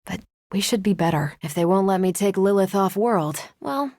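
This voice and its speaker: A woman's voice: medium pitch, moderate pace, darkish tone, dry recording, close to an everyday voice. A woman voicing a confident, wry, friendly superhero in a video game, with a breezy, teasing, dryly self-assured delivery. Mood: relaxed, wry. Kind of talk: video game voice acting, conversational.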